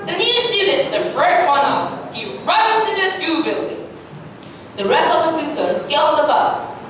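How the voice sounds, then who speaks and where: Female narrator, high voice, scripted stage reading, very echoey, distant mic, clear background noise. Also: big pitch swings, moderate pace, a bit bright. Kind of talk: scripted reader's theatre narration.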